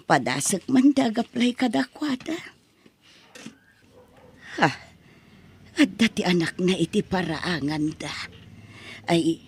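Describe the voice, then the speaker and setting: A woman's voice: lowish voice, a little hiss or hum. A woman playing a poor, loving mother in a drama, with an apologetic, deferential manner. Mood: gentle, resigned, then hesitant, pleading. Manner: plaintive, deferential. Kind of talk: Ilocano radio-style drama acting.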